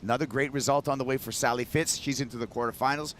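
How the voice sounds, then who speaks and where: A man's voice: mid-range voice, fairly even intonation, fast talker, a bit bright, a little hiss or hum. A man from Hawaii commenting on a surf contest, talking in an easygoing, chatty way. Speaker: broadcast commentator.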